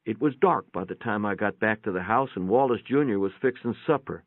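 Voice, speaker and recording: A man's voice: on the low side, brisk, no room sound. A man giving a dramatic first-person reading of a short story in a folksy, matter-of-fact storytelling manner. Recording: muffled, narrow phone-like sound, dry.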